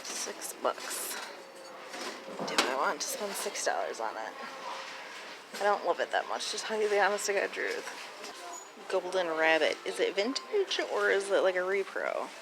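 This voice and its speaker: Chatty woman vlogging over clear noise. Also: fairly low pitch, very animated, unhurried, long pauses. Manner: informal, chatty, mild slang like freakin' and stinking. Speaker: thrift reseller vlogger. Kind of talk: casual chatty vlog.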